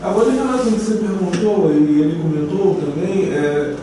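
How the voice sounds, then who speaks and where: A person from Bahia with a Bahian Brazilian accent, speaking conversationally as a participant in a seminar discussion. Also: female voice, lowish voice, brisk. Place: echoey seminar room. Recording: very echoey room, distant mic, faint noise.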